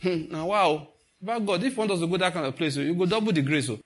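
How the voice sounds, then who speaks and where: A man's voice: high voice, quick pace. A man with a Nigerian accent preaching informally, telling stories, acting out what people say and slipping into Pidgin. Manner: animated storytelling, acts out quoted speech, slips into Pidgin. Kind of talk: informal sermon with stories and jokes.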